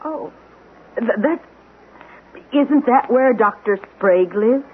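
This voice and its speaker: Probing young-sounding wife, female, animated pitch, hesitant phrasing, narrow vintage radio sound. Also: slow. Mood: astonished. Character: thoughtful, inquisitive wife puzzling out a mystery. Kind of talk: radio mystery drama acting. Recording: thin, noisy vintage radio broadcast.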